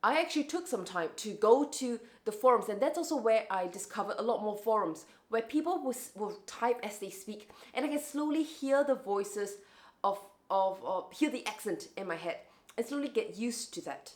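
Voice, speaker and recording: A woman's voice: on the high side, lively intonation, moderate pace. Woman with a light Singaporean accent. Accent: lightly Singaporean English, internationally smoothed. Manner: chatty, with frequent restarts and self-corrections. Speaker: vlogger. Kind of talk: casual reflective monologue.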